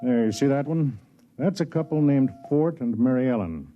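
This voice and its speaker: Kindly older officer and narrator played by a man, measured and avuncular, 1950s radio drama, dull broadcast sound with music behind. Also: medium pitch, lightly acted. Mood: grave, explanatory.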